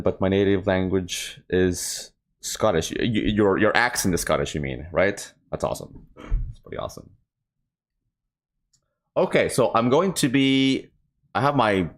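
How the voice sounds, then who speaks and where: Man, deep voice, livestream host, informal, improvised chat, scattered rambling delivery. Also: vocal fry. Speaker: live streamer and host.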